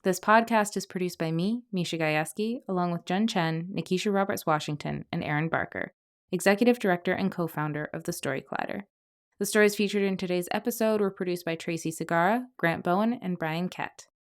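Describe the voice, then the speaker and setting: A woman's voice: lowish voice, a little fast. A woman reading scripted narration as a podcast host.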